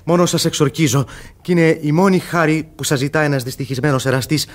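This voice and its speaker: An actor playing a lovestruck, cunning young gentleman suitor in a radio comedy, speaking in an ornate, plaintive way. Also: fairly high pitch, lightly acted. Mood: pleading, plaintive. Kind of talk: theatrical radio comedy acting.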